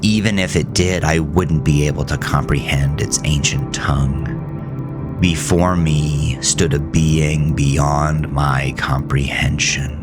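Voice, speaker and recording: A man playing a grieving, haunted farmer who narrates a horror audio drama in a brooding, deliberate, theatrical way. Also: very deep voice, fairly even intonation, over music. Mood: awed, weary. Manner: brooding, deliberate, theatrical, dropping at times into breathy near-whisper. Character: grieving, haunted farmer telling his supernatural ordeal.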